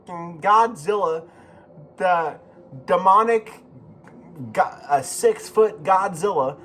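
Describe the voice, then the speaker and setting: A man giving casual, rambling video commentary as a host, halting and repeating himself, with informal, sweary phrasing. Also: sits high, a bit bright, slight background noise. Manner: profane, informal. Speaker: YouTube host.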